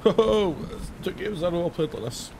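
A man in his thirties, hoarse and raspy after days of singing, hosting a casual gaming video where he reads questions aloud and answers them with jokes. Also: sits high, animated, a little fast, noisy background. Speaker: gaming channel host. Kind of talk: casual let's-play commentary. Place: home room, indoors. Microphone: headset.

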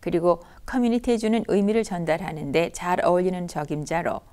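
A woman's voice: on the low side, a little fast, a little mellow. A woman news anchor reading scripted news.

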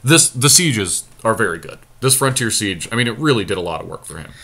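A man's voice: fairly low pitch, sing-song, a little fast, sharp tone. A man giving casual commentary on a game, thinking his moves through aloud. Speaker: podcast host and card game player.

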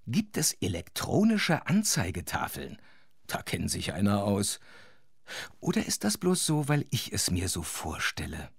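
Husky, animated storyteller. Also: male voice, fairly low pitch, no room sound, studio quality, full character voice. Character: chatty, wondering male storyteller who comments along. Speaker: narrator. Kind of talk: children's audio drama narration.

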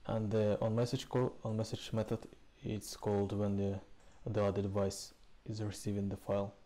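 A man presenting a programming tutorial in a casual walkthrough style, speaking haltingly in short, hesitant phrases. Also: fairly low pitch, flat delivery, warm tone. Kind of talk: casual screencast code walkthrough. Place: indoors, voice-over recorded with screen capture.

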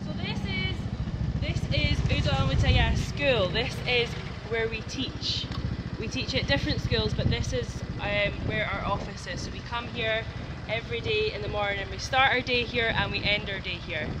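A woman's voice: fairly high pitch, normal speed. A young woman giving a casual spoken tour outdoors, picked up by a handheld camera a step or two away. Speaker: local guide, teacher. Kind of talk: casual tour-guide chat. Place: outdoor market and streets. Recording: loud music over outdoor street sound. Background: music, traffic.

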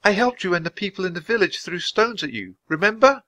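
A bold young storybook girl, played by a man, pitched well up high. She sounds eager and earnest, with a bright, lively delivery that moves around a lot. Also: a little fast. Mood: urgent.

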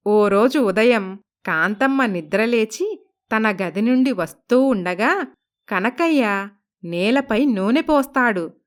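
A woman's voice: fairly high pitch, expressive, takes it slowly. A woman reading scripted narration for a moral story as its narrator.

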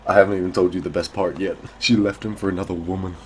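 Man playing Buck, a gruff low-voiced crook. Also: little pitch movement, fast, slight background noise, close to an everyday voice. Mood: needling. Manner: dry, dismissive. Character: Buck, gruff no-nonsense male drug dealer. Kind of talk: audio drama dialogue.

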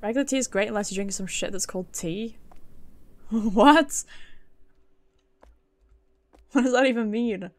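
A British woman livestreaming a horror game, thinking out loud in casual, sweary commentary and reacting as she plays. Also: mid-range voice, measured pace, long pauses.

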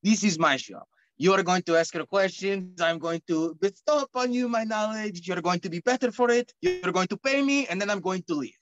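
Man as swaggering Cuban luchador for comedy show, high-pitched, dismissive, dull lo-fi recording. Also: fairly quick, fairly bright tone, faint music behind. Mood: boastful, bossy. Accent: put-on Cuban. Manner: pompous, bossy, dismissive. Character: boastful, domineering masked Cuban luchador wrestler. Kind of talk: in-character wrestling promo banter. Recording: dull, echoey room sound.

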